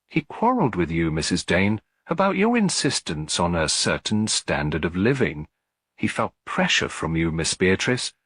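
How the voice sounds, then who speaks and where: A man voicing a calm, shrewd elderly lady detective in an audiobook, with a measured, gentle delivery. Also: low voice, breathy edge, no room sound, exaggerated voice. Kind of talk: audiobook character dialogue.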